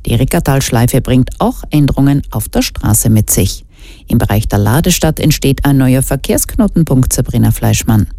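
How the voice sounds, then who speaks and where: Female radio news anchor, very deep mellow voice, scripted bulletin delivery, dry close sound. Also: lively intonation, moderate pace, dry recording.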